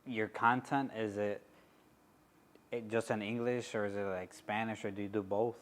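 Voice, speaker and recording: A man interviewing a guest, asking measured questions with an occasional "um". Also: fairly low pitch, level tone. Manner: measured, with "um" between phrases.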